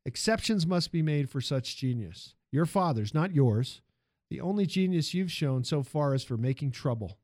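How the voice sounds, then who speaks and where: A cold, commanding automobile tycoon, acted out by a male narrator. He demands obedience in emphatic, clipped phrases that rise and swing in pitch, as in a dry audiobook recording. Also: lively intonation, moderate pace, a little mellow, dry recording, lightly acted. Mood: cold, haughty.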